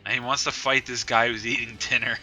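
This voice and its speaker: A man's voice: mid-range voice, level tone, a little fast, pushed and bright. A man co-hosting a podcast in casual, sweary banter, often incredulous and prone to laughing. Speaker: podcast co-host. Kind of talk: casual comic-review banter. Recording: music playing behind the voice.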